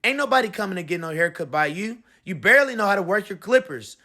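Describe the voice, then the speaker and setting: A sassy beauty parlor owner, put on by a man reading a picture book, fires off quick insults in a raised, bright voice. She scolds without letting up. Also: high-pitched, very animated, exaggerated voice. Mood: scolding.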